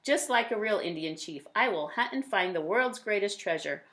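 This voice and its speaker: A woman's voice: medium pitch, lively intonation, normal speed, a bit bright, lightly acted. A woman voicing an imaginative, boastful little boy from a storybook, declaring his dress-up adventures emphatically. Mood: boastful, excited. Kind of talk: children's storybook character reading.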